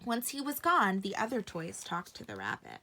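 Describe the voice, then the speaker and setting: A woman narrating a bedtime story for children in an expressive storytelling style. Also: medium pitch, moderate pace.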